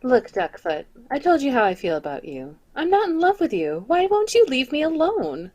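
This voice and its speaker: Woman doing a proud circus star, radio play, indignant, high and lively. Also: moderate pace, exaggerated voice. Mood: weary. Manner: indignant and taunting. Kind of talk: 1940s radio acting.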